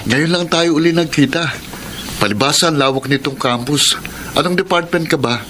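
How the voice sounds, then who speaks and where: A man's voice: a little high, moderate pace, close to an everyday voice. An actor playing a cheeky, persistent young male college student in a radio drama, teasing and a bit pushy. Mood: playful. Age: young adult. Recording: clear background noise and ambience.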